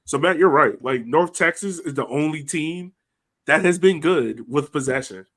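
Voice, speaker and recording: A man's voice: fairly high pitch, expressive, moderate pace, fairly bright tone. A man co-hosting a soccer fan podcast, reading off statistics with a laugh in his voice. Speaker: podcast co-host. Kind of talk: casual chat.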